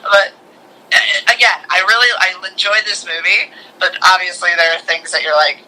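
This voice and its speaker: A man's voice: high-pitched, normal speed, low-quality recording. A man co-hosting a podcast, chatting casually through a film review and acting out bits as the story is retold. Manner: animated retelling, mimics characters' lines in passing. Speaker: podcast co-host. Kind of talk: casual movie review chat.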